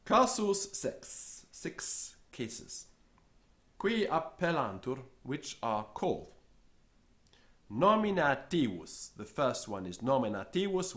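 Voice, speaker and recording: Slow, animated male Latin teacher. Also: medium pitch. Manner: repeats each Latin word, then glosses it in English; acts out little scenes in a raised voice. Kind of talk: slow spoken language lesson.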